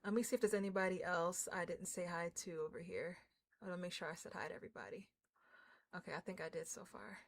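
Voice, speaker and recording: A woman livestreamer chatting casually with her viewers in an excitable, slangy, informal way. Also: lowish voice, fast. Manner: excitable, hyped, effusive; slangy, informal, drops swearing in. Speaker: livestreamer hosting a game stream.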